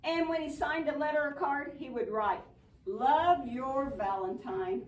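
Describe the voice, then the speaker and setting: A woman's voice: high voice, a little slow, a little hiss or hum. A woman playing an earnest, patient but bossy young puppet friend in a church show, explaining and chiding. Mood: cheerful, explaining. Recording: echoey room, distant mic.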